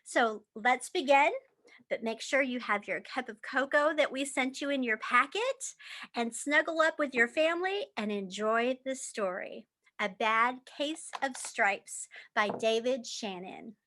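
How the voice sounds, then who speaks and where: High bright lady voice, super expressive, reading to little kids, stretches words for emphasis. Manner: animated, drawn-out emphatic phrasing. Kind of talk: expressive children's storybook read-aloud.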